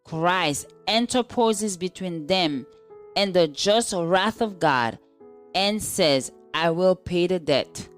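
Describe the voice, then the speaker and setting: A woman's voice: on the low side, expressive, takes it slowly, soft background music. A woman reading a scripted religious devotional aloud as narration. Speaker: devotional reader.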